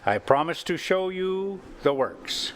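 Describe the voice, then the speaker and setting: Older guy doing a grim menacing victorian ironmaster from a storybook, real slow and halting, some background noise. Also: high voice, lively intonation, pitched above the performer's own voice. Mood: grimly polite. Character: grim, quietly menacing Victorian ironworks husband.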